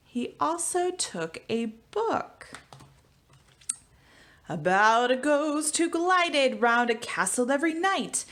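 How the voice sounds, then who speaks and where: A woman teacher giving a storybook read-aloud for children, with expressive delivery. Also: a little high. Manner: expressive, animated reading. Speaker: elementary school teacher.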